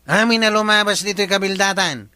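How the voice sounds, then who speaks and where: Brash, bright-voiced Ilocano bully watchman, acted by a man. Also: sits high, a little fast, smooth, no room sound. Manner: brash, bossy. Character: swaggering bully, village watchman abusing his uncle's position. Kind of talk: Ilocano comedy drama dialogue.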